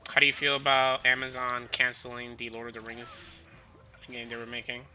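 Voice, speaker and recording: A man's voice: medium pitch, monotone, moderate pace, bright, forceful tone, a little hiss or hum. A young man hosting a livestream, asking questions and teasing his friend, greeting viewers. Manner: teasing, inquisitive, greets chat. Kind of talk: casual gaming chat.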